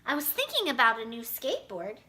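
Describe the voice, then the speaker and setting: A woman voicing an earnest, excitable young schoolboy in a children's storybook. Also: fairly high pitch, a little fast, exaggerated voice. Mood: excited.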